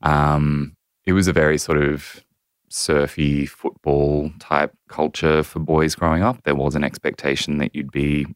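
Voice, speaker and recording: An Australian man in his thirties, a podcast guest telling his story in a measured, candid way with frequent ums. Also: extremely low pitch, little pitch movement, smooth, no room sound, studio quality. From New South Wales, Australia. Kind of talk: reflective personal storytelling.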